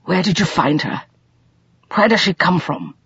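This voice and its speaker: A woman's voice: on the low side, animated, unhurried, vocal fry, fairly bright tone, breathy edge, lo-fi sound, voice pushed up, heavily acted. A woman voicing a practical, firm older uncle in an audiobook novel, speaking earnestly and with emphasis. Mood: shaken, urgent.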